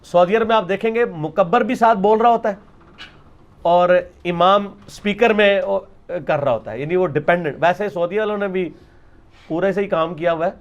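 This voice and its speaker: A man's voice: high voice, moderate pace, highs cut off. A man with a Pakistani accent giving an informal religious lecture, arguing and explaining off the cuff with English words mixed in.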